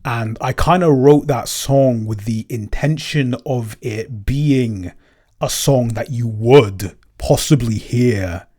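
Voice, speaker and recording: A man's voice: mid-range voice, slow pace, a little mellow. A man chatting casually as a podcast creator answering questions, often stammering and repeating words as he works through his thoughts.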